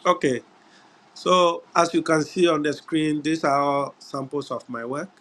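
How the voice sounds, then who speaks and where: A middle-aged man from Ghana with a Ghanaian English accent, giving an unhurried webinar talk over a video call, often pausing on "uh" and "yeah". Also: a little high, steady tone, a bit bright. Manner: unhurried, repeats words, frequent "uh" and "yeah". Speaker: guest speaker. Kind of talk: webinar presentation.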